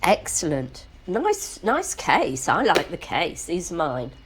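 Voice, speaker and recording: A woman's voice: fairly low pitch, huge pitch swings, slow, noisy background. A woman co-hosting a casual vlog, unboxing a product with enthusiastic exclamations. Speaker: vlog co-host.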